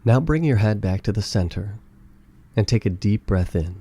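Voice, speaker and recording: A man leads a guided meditation in a low, very mellow voice. His delivery is calm and gentle, giving soothing instructions in a relaxed, warm tone. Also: normal speed, dull audio.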